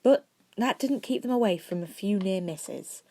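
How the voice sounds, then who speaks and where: A woman's voice: mid-range voice, expressive, moderate pace, mellow, low-quality recording. A woman reading a story aloud as an amateur narrator, now and then stumbling and correcting herself.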